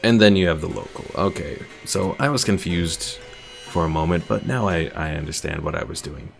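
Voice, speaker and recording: Deep, gravelly male game streamer. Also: normal speed. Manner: thinking aloud as he plays. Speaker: gaming streamer. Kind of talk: casual game playthrough commentary. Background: faint game music.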